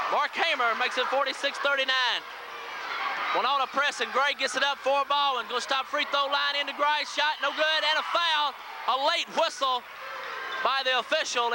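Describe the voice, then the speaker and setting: A man doing live radio play-by-play of a high school basketball game in short, clipped phrases. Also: fairly even intonation, normal speed. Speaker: radio play-by-play sports announcer. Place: school gym during a game. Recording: noisy 1980s local radio audio from VHS. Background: loud gym crowd and noise.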